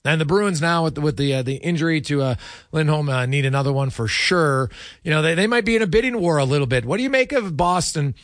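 A man's voice: fairly high pitch, animated, quick pace, fairly bright tone, no echo, studio quality. A man hosting a sports radio show, chatting casually about hockey and putting meandering questions to his guest.

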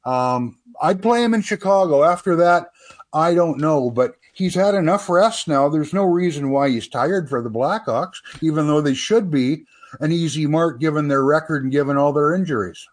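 A man's voice: fairly high pitch, moderate pace. A man giving his opinions as a commentator in a casual, folksy sports radio discussion. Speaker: sports writer and commentator guest.

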